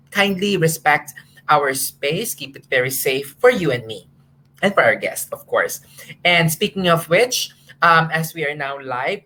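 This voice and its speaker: A woman hosting a live online session, her voice a little low but bright and forward. She speaks earnestly and stops to correct herself. She sounds like she is on a video call. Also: low-quality recording. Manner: earnest, with frequent fillers and restarts. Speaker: moderator.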